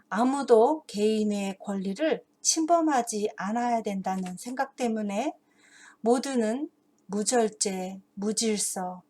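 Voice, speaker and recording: Lady with a highish voice telling a story and its moral, earnest, careful phrasing like a teacher to parents. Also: a little mellow. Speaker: host and teacher of an English Bible story channel for parents.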